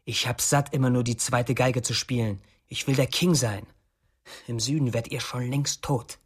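Radio crime drama: male actor as young upstart gangster, domineering and sneering, mid-pitched voice, crude menace. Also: steady tone, moderate pace, husky, natural voice. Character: menacing young male drug-scene rival seizing power. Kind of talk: radio play acting.

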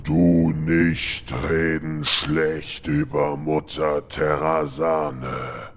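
A huge, angry stone giant for a fantasy parody audio drama. The voice is extremely deep and drags very slowly through broken, simple-minded sentences. There is faint noise behind it. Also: male voice, little pitch movement, exaggerated voice. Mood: offended, angry. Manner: broken caveman-like grammar.

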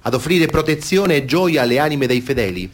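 A man giving a grave, deliberate reading of scripted documentary theatre narration. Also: on the high side, animated. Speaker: reader in a radio theatre piece.

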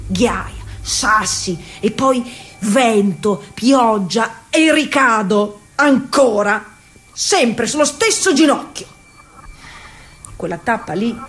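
A woman's voice: a little high, a little hiss or hum. A woman playing a proud, stubborn Italian racing cyclist of the early twentieth century in an emphatic, colloquial theatre monologue. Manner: emphatic, colloquial storytelling addressed to an absent listener.

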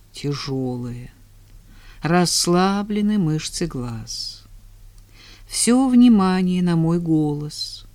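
A woman leading a guided relaxation and affirmation session in a calm, measured, soothing manner. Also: fairly low pitch. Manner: slow, soothing, measured delivery. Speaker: relaxation and vision trainer.

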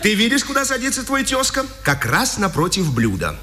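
A man's voice: high voice, huge pitch swings, brisk, bright, forceful tone, full character voice. An actor playing a jovial, defiant male resistance leader who banters playfully in a 1950s radio drama. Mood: playful teasing. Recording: very noisy, crackly 1950s radio recording.

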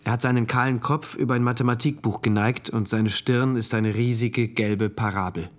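A man's voice: mid-range voice, steady tone, moderate pace, lightly acted. An actor playing a troubled, introspective male diarist who narrates a dystopian radio drama in diary entries. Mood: brooding, detached.

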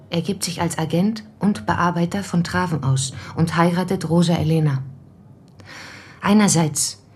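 A determined woman researcher played by an actress in a radio drama. Her voice sits fairly low and she talks with probing, methodical precision. Some ambient noise sits behind her. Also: darkish tone, lightly acted. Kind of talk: German radio drama acting.

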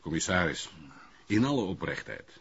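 An actor playing a pompous, evasive middle-aged burgomaster in a TV crime drama, with formal, wordy phrasing and a Flemish Belgian accent. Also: male voice, low-pitched, sing-song, normal speed, fairly bright tone, slightly rough, full character voice. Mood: placating. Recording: muffled, lo-fi broadcast audio.

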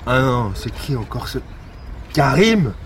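A man's voice: mid-range voice, big pitch swings, slow, a bit bright, a little hiss or hum. A man playing a weary, sardonic police detective in a naturalistic audio drama, with a dry, grumbling way of talking.

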